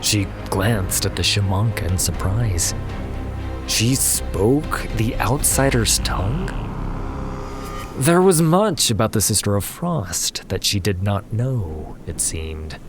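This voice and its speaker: Male narrator for dramatic fantasy tale: unhurried, lowish pitch, scripted read over clear music. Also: lively intonation.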